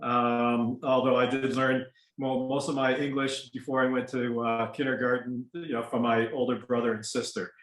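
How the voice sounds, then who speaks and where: A man's voice: medium pitch, normal speed, echoey room, poor audio. An older man, raised in the United States with Ukrainian as his first language, talking casually as an interview guest over a video call, rambling with many fillers. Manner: relaxed, rambling with frequent fillers. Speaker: guest, doctor and lawyer.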